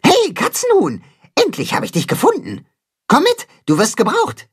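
A man voicing a small male mole with a tender, very high voice in a children's audiobook. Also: huge pitch swings, normal speed, heavily acted. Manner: zarte Stimme. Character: kleiner männlicher Maulwurf, zart und bestimmt.

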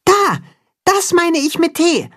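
Squeaky, eager little mole. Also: male voice, big pitch swings, slow, fairly bright tone, exaggerated voice. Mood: excited, eager. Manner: eager, reporting breathlessly. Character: small excitable mole with urgent news.

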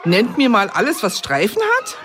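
A woman's voice: mid-range voice, very animated, moderate pace, noisy background, lightly acted. A woman as a kindly kindergarten teacher. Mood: teacherly. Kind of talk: children's radio comedy.

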